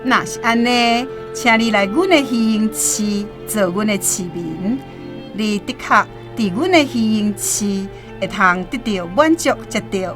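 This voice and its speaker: A woman's voice: medium pitch, a little slow, lightly acted. A woman acting in a Taiwanese radio drama as a vain, pleasure-seeking society wife, showy and self-satisfied. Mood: boastful, inviting. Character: vain, fashion-loving, pleasure-seeking married society lady. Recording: music and jingles behind voice.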